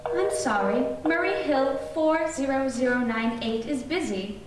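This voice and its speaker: A woman's voice: high voice, animated, unhurried, music in the background. A woman playing a polite, mechanical telephone switchboard operator in an old-time radio drama, speaking by rote. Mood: polite, detached. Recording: distant echoey hall, clear noise.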